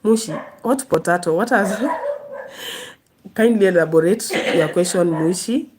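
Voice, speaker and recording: A woman's voice: medium pitch, big pitch swings, unhurried, background noise. A woman from Kenya, hosting a casual live stream, chatting and laughing as she answers viewers' comments.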